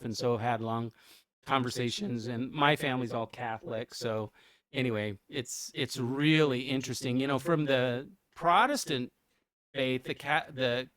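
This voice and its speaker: A man's voice: medium pitch, fairly bright tone, dry recording. A man hosting a podcast interview, chatty and rambling, often restarting his sentences. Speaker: podcast host and coach. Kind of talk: casual interview.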